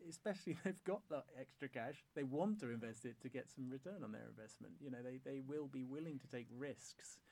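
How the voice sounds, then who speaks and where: A man's voice: mid-range voice, creaky, a little mellow. Hesitant, thoughtful male podcast host. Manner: thoughtful, hesitant, frequent restarts. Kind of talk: conversational podcast interview. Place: Norway.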